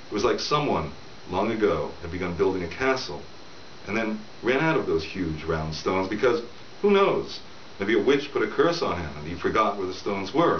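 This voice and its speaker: A middle-aged man reading his novel aloud in a conversational storytelling way, as the author, in a room at home. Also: sits low. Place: small room at home, indoors. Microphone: camera several feet away. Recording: roomy, distant camera mic, dull with hiss.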